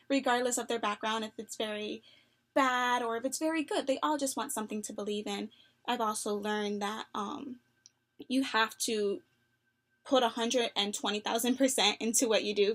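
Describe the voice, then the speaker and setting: A young adult woman answering phone interview questions in an earnest, upbeat way, with many fillers and soft laughs. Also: fairly high pitch. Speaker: job applicant.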